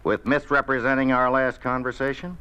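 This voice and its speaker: An actor playing a defiant, earnest union leader in a 1950s radio drama, argumentative and easily flustered. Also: male voice, medium pitch, little pitch movement, a little fast, sharp tone, smooth, muffled recording, close to an everyday voice. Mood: indignant. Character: indignant, defiant male union leader, flustered when cornered.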